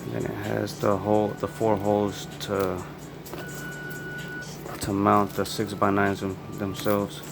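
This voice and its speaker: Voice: low-pitched, completely flat delivery, measured pace, vocal fry. A person narrating an unboxing in a casual, laid-back, informal way. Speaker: unboxing video host. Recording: loud music behind the voice.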